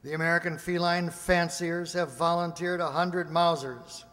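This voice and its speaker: Radio comedy aide male actor: harried, earnest, high-pitched male voice, echoey hall recording. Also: fairly even intonation, moderate pace, fairly bright tone, close to an everyday voice. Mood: sober. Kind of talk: comic science-fiction radio play acting. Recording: echoey hall with long reverb.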